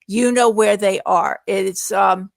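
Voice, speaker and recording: A woman's voice: medium pitch, fairly even intonation, takes it slowly, gravelly, dry recording, clean studio sound. A middle-aged woman answering questions in a casual live Q&A, speaking in an earnest, reassuring way. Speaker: guest answering viewer questions.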